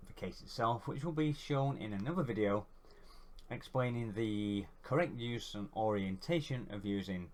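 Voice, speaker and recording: Brit guy w a cold, bunged up, lower voice, chatty how-to vlog with a bit of self-mockery. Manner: rambling, chatty, self-deprecating. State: congested with a cold. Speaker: YouTuber.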